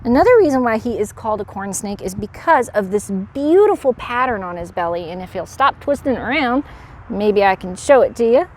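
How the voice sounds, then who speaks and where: A woman presenting as a park educator to children, with an animated manner, explaining and putting questions to young viewers. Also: mid-range voice, fairly quick, slight background noise. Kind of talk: animated educational read-aloud and animal demonstration.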